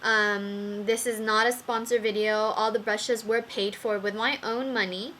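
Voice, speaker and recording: A young woman reviewing makeup brushes in a casual vlog, talking slowly with many ums while her voice wears out. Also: medium pitch, steady tone, fairly bright tone, slight background noise. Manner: slow, with many ums and fillers. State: losing her voice. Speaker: beauty vlogger.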